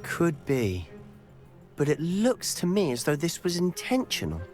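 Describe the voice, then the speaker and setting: Lively, wry schoolboy wizard. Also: male voice, a little high, unhurried, soft background music. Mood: puzzled. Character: sceptical, wry teenage schoolboy wizard, a loyal friend. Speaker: companion character in a video game. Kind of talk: scripted game dialogue.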